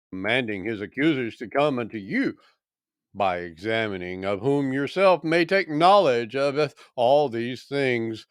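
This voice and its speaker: A formal orator voiced by a man reading scripture makes his case before a governor. He is ceremonious and emphatic, taking his phrases slowly. The sound is dry and close. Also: mid-range voice, dry recording.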